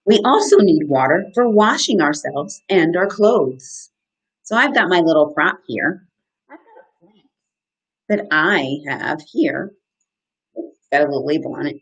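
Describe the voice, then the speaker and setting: Woman, lowish pitch, husky voice, children's read-aloud lesson, simple explanations, interactive questions, encouraging teacher delivery. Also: rough recording. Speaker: outdoor educator hosting a children's story time. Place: small indoor room. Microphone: webcam at desk distance, live video stream.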